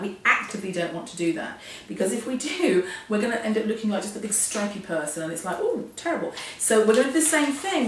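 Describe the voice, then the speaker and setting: A woman with a hoarse voice, getting over a cold, teaching a hands-on sewing lesson in a chatty, self-deprecating, step-by-step way. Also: mid-range voice, recorded from a distance. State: hoarse, losing her voice from a cold. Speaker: sewing instructor. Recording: echoey room, off-mic, faint noise.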